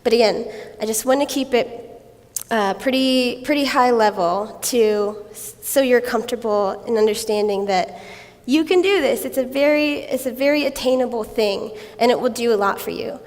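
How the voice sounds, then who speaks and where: A woman speaks on a conference stage in a high voice that is raspy from a recent cold. She is playful and self-mocking and laughs often as she explains. The sound carries the long reverb of a hall. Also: normal speed. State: recovering from a cold. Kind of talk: tech conference talk.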